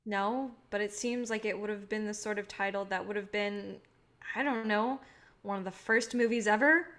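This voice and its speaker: A woman's voice: mid-range voice, fairly even intonation, moderate pace, fairly bright tone, close to an everyday voice. A woman playing a guarded, idealistic filmmaker, wry and self-deprecating, in a naturalistic staged play reading. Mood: mildly exasperated.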